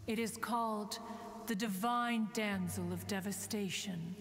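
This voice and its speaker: Woman voicing a lofty ancient immortal, deeply reverberant. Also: unhurried, close to an everyday voice. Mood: musing. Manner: formal, stately, refers to self as one. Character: ancient dignified immortal female adeptus, lofty and aloof. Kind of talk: video game voice acting. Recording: long hall reverb, hiss.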